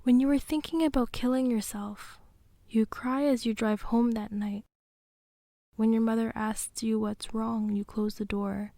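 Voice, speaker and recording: High, mellow woman telling a dramatic story. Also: steady tone, measured pace, vocal fry, dry recording. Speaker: narrator and host. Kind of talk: second-person dramatic storytelling narration.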